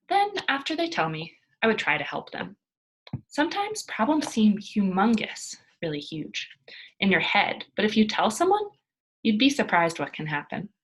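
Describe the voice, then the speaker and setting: A woman plays a hopeful young girl in a storybook, reciting her own essay. The voice lifts with sincere emphasis and has a slightly husky edge. She sounds earnest and deliberate. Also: medium pitch.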